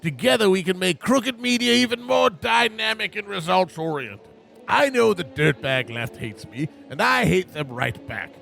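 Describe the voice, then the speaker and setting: An old, villainous business tycoon in a parody radio play. He is pompous and gloating, with a creaky voice that swings widely in pitch. His scheming speech is grandiose and old-fashioned. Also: lively intonation, moderate pace, a bit bright, soft background music, heavily acted. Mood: scornful, grandiose.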